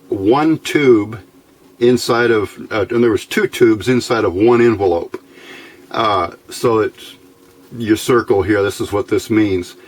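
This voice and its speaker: A man giving an informal, somewhat halting hands-on electronics demonstration as the host. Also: fairly low pitch. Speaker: host of electronics tinkering videos. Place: indoor workbench.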